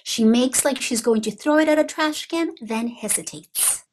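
Higher-voiced woman reading stage directions. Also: normal speed, a little hiss or hum. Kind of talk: scripted narration.

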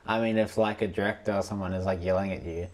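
A man's voice: sits low, steady tone, quick pace, a little mellow. A young man in his twenties hosting a casual podcast, rambling with lots of fillers, on a close studio mic. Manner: rambling, full of "like" and "you know". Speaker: podcast host, filmmaker. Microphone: podcast mic on boom arm.

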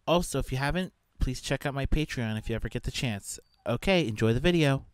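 Man, channel host, scripted intro, crisp voice, dry recording. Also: mid-range voice, animated, fairly quick, no echo.

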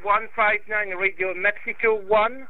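A man's voice: high voice, steady tone, measured pace, crowd noise. A man with a French accent working as an amateur radio operator, giving callsigns and signal reports politely and hesitantly over a radio link. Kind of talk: brisk ham radio contact exchanges with callsigns. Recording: thin phone-like radio transmission, faint noise.

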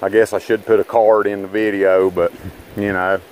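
A man's voice: on the low side, level tone, normal speed, a little mellow, a little hiss or hum, poor audio. A man giving rambling, folksy commentary to his viewers while fishing from a rocky riverbank, recorded on a body-worn camera. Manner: folksy, rambling, talks to viewers as guys and boys. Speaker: fishing vlogger. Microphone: body-worn action camera.

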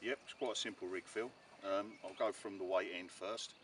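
A middle-aged man chatting casually and haltingly as he explains his fishing gear, repeating words as he goes. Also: sits low, steady tone, a bit bright. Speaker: angler demonstrating his fishing rig. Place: outdoors on a pebbly shore beside bare bushes. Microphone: camera a few metres away. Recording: noisy outdoor recording, distant from mic.

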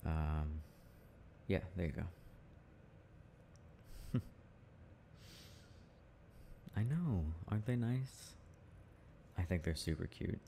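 A man's voice: deep voice, expressive, very slow, gravelly, pauses often, warm tone, a little hiss or hum. A young man in an intimate ASMR boyfriend roleplay, chatting casually and affectionately with frequent chuckles. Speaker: boyfriend roleplay audio performer.

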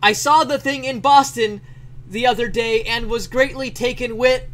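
A man playing an excitable letter writer, yelling out a typed letter in a dramatic, emphatic reading. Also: very high-pitched, moderate pace, pitched above the performer's own voice. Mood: shouting, excited. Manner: shouting, declamatory, emphatic word by word.

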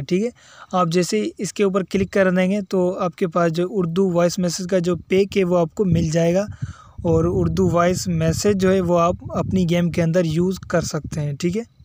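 Male tutorial host, high register, dry recording, instructional step-by-step delivery. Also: a little mellow, dry recording. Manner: instructional, repetitive verbal tags. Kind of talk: step-by-step mobile game tutorial voice-over.

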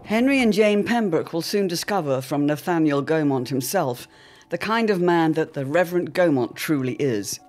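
An announcer narrating a serial audio drama in a formal, measured, old-time radio style with theatrical flair. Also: medium pitch, lively intonation, a little mellow.

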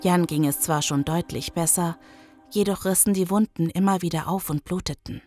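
A woman's voice: lowish voice, moderate pace, dark tone, soft background music, natural voice. A woman playing a weary, level-headed survivor of a zombie apocalypse in an audio drama. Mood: sober, weary. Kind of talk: audio drama acting and narration.

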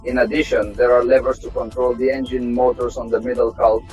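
A middle-aged man, a test pilot from Russia, giving a measured, technical tour of an aircraft cockpit. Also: mid-range voice, flat delivery, a little mellow, slightly rough. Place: aircraft cockpit inside a hangar. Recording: slightly dull sound, faint music behind.

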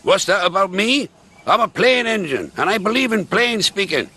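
A man's voice: sits high, expressive, normal speed, sharp tone, voice pushed up, full character voice. A man voicing a grumpy, boastful male steam engine who speaks bluntly. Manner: gruff, blunt and sulky.

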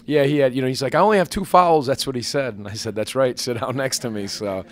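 A man in his forties, a basketball head coach answering questions at a postgame press conference into a table microphone, measured and earnest with many fillers and restarts. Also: mid-range voice. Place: press conference room with sponsor backdrop.

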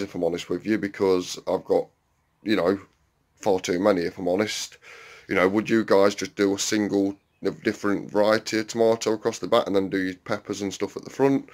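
A man's voice: low-pitched, no room sound. A man chatting casually to his viewers while walking around his allotment and greenhouse, with lots of "um"s and asides. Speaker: allotment vlogger. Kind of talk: casual walk-around vlog. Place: outdoors on an allotment and inside a greenhouse. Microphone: handheld phone camera, filming while walking.

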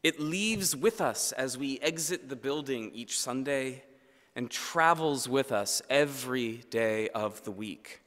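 A man in his thirties preaching a sermon at a lectern microphone in a large church, measured and earnest, letting his phrase ends fall low and quiet. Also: animated. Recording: long church reverb.

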